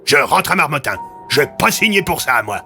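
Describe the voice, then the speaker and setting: A man acting out a fed-up police officer in an audio drama. He is loud and defiant, with a raised, whiny pitch, over music. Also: big pitch swings, brisk, exaggerated voice. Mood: exasperated.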